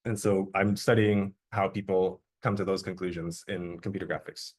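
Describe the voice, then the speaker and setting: A young man from Jamaica giving a relaxed, conversational academic seminar talk as a guest researcher. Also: low voice, normal speed, slightly rough. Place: lecture room.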